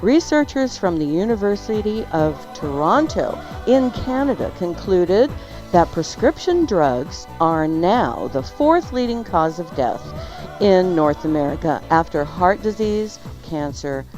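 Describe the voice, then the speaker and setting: A woman's voice: on the low side, very animated, slow. A woman hosting a live morning radio show with a theatrical, playful announcer's delivery. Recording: loud music behind the voice. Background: music.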